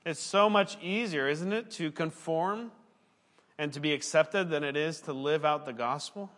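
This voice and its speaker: A man's voice: on the high side, normal speed, a bit bright. Guy preaching a sermon, earnest and chatty, fixes his words as he goes, big echoey church sound. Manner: earnest, conversational preaching with occasional self-corrections. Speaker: pastor, former missionary.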